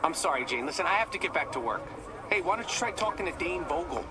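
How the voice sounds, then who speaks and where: Actor as an evasive, fast-talking police chief. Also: male voice, a little high, lively intonation, a crowd in the background, natural voice. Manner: hurried, evasive. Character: guarded, evasive male police chief facing press. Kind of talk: video game cutscene acting. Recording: loud outdoor street noise.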